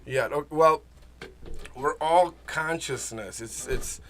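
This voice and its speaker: A man from the Czech Republic, a native Czech speaker, talking casually as an interview guest, halting, repeating himself and searching for words. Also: on the high side, a bit bright, a little hiss or hum. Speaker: interview guest, small business owner. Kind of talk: casual, personal interview talk.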